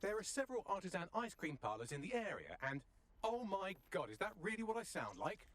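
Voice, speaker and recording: British AI assistant, high voice, animated intonation, sarcastic, glib, chatty, game character. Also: male voice, brisk, no echo. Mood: chirpy, polished. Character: snarky, chatty male AI personal assistant. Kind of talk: comic game cutscene acting.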